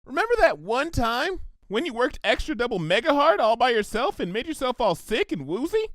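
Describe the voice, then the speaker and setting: A man's voice: extremely high pitch, very animated, a little fast, a bit bright, no echo, clean studio sound, pitched above the performer's own voice, full character voice. A man voicing a perky, earnest young female cartoon pony with a gushing, sing-song delivery for a story. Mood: earnest, caring.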